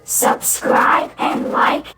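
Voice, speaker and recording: A woman's voice: very slow, roomy sound, heavy background noise. A woman reciting a promotional line through novelty voice-changer filters. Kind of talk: repeated channel promo line.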